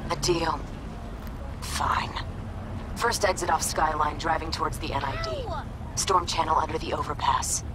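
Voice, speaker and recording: A woman's voice: low-pitched, fairly even intonation, unhurried, long pauses, noisy background. A woman acting as a hard, hot-tempered corporate operative in a video game, curt, commanding and hostile. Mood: curt, suspicious.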